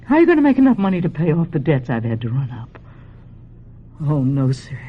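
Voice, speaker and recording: A woman acting in a radio drama as a bitter, pushy, ailing middle-aged mother with an American accent and a husky, nagging delivery. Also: deep voice, very animated, fairly quick, muffled recording, heavily acted. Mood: bitter, driven. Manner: domineering, nagging.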